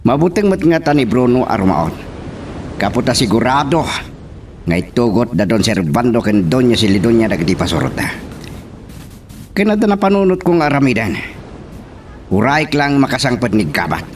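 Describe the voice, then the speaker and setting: An actor playing a scheming young landowner's son giving orders, with a Filipino accent, in a radio drama. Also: male voice, medium pitch, sing-song, moderate pace, pauses often, far from the mic, faint music behind, close to an everyday voice. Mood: commanding, plotting.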